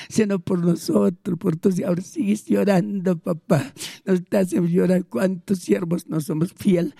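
A man's voice: high-pitched, gravelly, warm tone, dry recording, clean studio sound. A man with a Peruvian Spanish accent praying aloud as a pastor, pleading fervently with repeated invocations. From Peru. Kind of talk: fervent spoken prayer.

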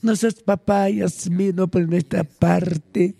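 Dark, husky Peruvian man in fervent prayer. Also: sits high, steady tone, slow pace, dry recording. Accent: Peruvian Spanish. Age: older. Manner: pleading, repetitive short phrases with frequent invocations.

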